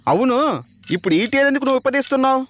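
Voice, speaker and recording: A man's voice: extremely high pitch, racing, slight background noise. A man voicing a fussy, easily panicked driver in a dubbed cartoon, always calling his boss sir. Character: jumpy talkative male driver. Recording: music bed under dialogue.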